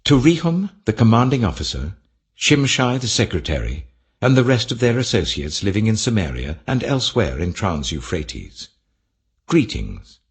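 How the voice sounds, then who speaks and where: A man's voice: fairly low pitch, sing-song, moderate pace, voice pushed up. A British-accented man voicing a stern, commanding king dictating a formal decree in a scripture audiobook. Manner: imperious, formal.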